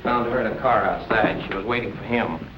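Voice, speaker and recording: An actor playing a blunt, wisecracking police detective in a 1940s film noir. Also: male voice, fairly low pitch, brisk, dull audio, music in the background, lightly acted.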